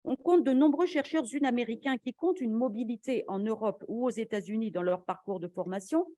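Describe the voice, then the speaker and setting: A woman academic giving a formal conference lecture in a measured, argumentative way, as an invited speaker. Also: on the high side, warm tone, no echo, clean studio sound.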